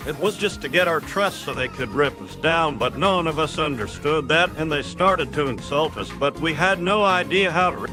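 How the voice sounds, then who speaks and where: A man's voice: on the high side, lightly acted. A man voicing a patient, preachy frog mentor who lectures and explains in an amateur cartoon comic dub. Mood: reflective, storytelling.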